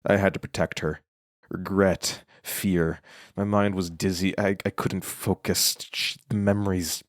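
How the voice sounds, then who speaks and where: Very deep, haunted narrator. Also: male voice, little pitch movement, dry recording, clean studio sound. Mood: dazed, grave. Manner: halting, grave, brooding. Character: haunted male narrator recalling his own horror. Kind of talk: first-person dramatic narration in horror audio drama.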